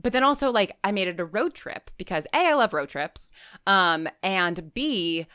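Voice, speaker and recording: A woman chatting casually as an interview guest, animated and conversational, often checking in with "right?". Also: medium pitch, moderate pace, clean tone, no room sound, studio quality. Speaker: interview guest, fiction podcast writer.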